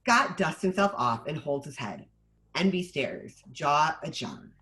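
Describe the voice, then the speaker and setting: Animated screenplay narrator, medium pitch. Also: unhurried. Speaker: stage-direction narrator. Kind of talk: screenplay table-read narration.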